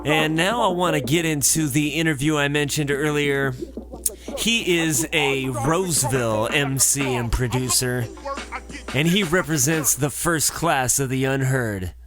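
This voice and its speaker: A man hosting a radio show, giving upbeat shout-outs and introducing the next segment. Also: a little high, a little slow. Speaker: radio DJ host. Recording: loud music underneath.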